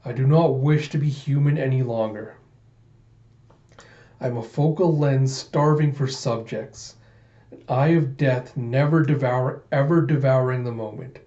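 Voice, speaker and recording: A death-obsessed male villain from a comic story, acted out by a man, speaking in grandiose, brooding monologue. The mellow voice sits in a dull, echoey room. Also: medium pitch, a little slow, close to an everyday voice. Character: morbid, nihilistic male photographer obsessed with death and beauty.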